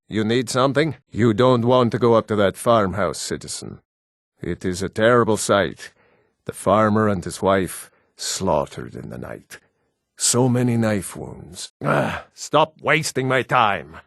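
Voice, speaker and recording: An actor playing a stern, gruff Nord guardsman in a video game, speaking in a blunt, commanding way. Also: medium pitch, no echo, clean studio sound. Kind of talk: video game character dialogue.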